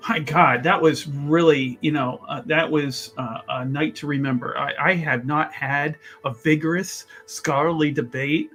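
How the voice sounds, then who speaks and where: A man's voice: fairly high pitch, steady tone, measured pace, few pauses, fairly bright tone, soft background music. A man voicing an effusive, self-satisfied male scholar in a fantasy tabletop game. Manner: chatty, effusive, emphatic.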